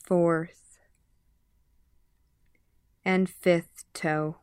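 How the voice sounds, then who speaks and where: A woman guiding a meditation in a soothing, measured manner, leaving long pauses between phrases. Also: lowish voice, flat delivery, a little mellow, smooth, dry recording, clean studio sound. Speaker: meditation guide, nurse. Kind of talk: guided meditation narration.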